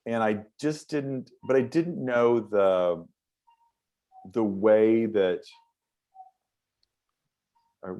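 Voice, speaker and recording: American guy, fairly deep voice, rambly storytelling, lots of false starts. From United States. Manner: rambling, animated storytelling with many restarts. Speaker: interview guest. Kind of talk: casual interview chat.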